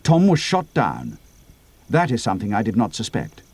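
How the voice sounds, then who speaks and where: A man's voice: on the low side, huge pitch swings, normal speed, darkish tone. A man playing a grave, clipped air commander. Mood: grim, angry. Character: grave, decisive young male air adventurer, squadron leader. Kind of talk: dramatic audiobook character reading.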